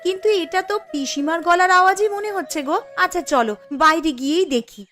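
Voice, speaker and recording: A woman voicing a wife in a Bengali cartoon, with a sharp, scolding manner. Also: moderate pace, faint music behind. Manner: sharp, scolding, high-pitched.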